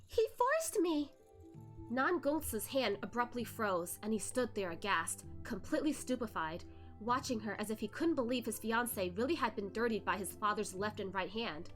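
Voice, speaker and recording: A woman's voice: mid-range voice, moderate pace, a bit bright, soft background music. A woman reading a novel aloud as a streamer host, narrating expressively with casual asides. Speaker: host of a novel read-along, virtual streamer.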